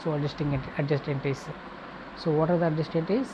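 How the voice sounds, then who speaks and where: A woman with a low voice teaches in a step-by-step tutorial style. She speaks in short, halting segments and often restates her phrases. The recording has clear background noise and hum. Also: fairly even intonation, muffled recording. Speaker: accounting tutor. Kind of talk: step-by-step tutorial, reading points and explaining.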